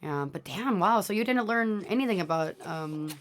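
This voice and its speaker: A woman in casual conversation, reacting to a video in a chatty, expressive way full of fillers like "like" and "I mean". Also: medium pitch, moderate pace. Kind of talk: casual chat.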